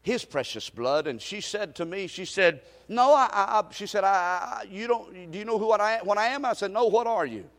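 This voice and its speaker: Preacher guy, high voice that swoops all over, hushed then shouting, stammery, big echoey church. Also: expressive, normal speed, hardly any pauses. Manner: stammering restarts. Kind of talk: sermon with storytelling. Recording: reverberant hall.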